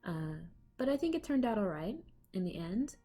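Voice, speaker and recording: A woman's voice: medium pitch, sing-song, normal speed, mellow, faint music behind. A woman with a cold, chatting casually with fillers and small laughs as she talks over her own painting video, recorded on a laptop mic at home. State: has a cold. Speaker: art vlogger. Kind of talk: casual voiceover for a speed paint. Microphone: laptop microphone.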